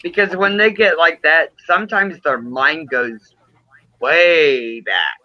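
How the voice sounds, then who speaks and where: A man with a fairly high voice speaks very slowly in casual chat. He is a supportive, agreeing presence, heard through dull, lo-fi audio. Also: pushed and bright.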